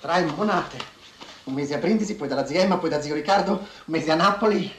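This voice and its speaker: An actor playing a grumbling, homesick Italian roadside trader with an Italian accent and broken German. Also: male voice, on the high side, quick pace, reverberant, natural voice. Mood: wary haggling. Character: grumbling, homesick Italian man selling roadside fuel, haggling.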